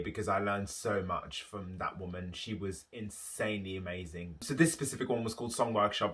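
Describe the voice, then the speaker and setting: Bright-voiced chatty young man. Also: lowish voice. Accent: London English. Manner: chatty, enthusiastic, talks in long run-on explanations. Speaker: YouTube host. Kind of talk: casual chat to camera.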